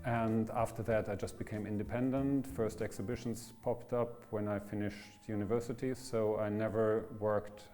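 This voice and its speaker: A man's voice: fairly low pitch, level tone, normal speed, hardly any pauses, mellow. A man of German origin, a painter, speaking in a matter-of-fact interview monologue. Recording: faint music behind.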